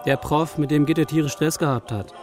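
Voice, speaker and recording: A man's voice: on the high side, a little fast, natural voice. An actor playing a brash young male activist in a radio crime play, slangy and confrontational. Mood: hostile. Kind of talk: German radio play dialogue. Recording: music behind the voice.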